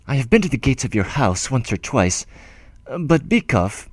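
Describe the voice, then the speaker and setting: A humble, timid old clerk writes tenderly, rambling and breaking off mid-thought, as a male audiobook reader gives him voice. His delivery swings widely in pitch. The recording is dry and close. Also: big pitch swings, moderate pace, no echo. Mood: tender fretful. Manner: rambling, self-interrupting, tender. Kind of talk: audiobook letter reading.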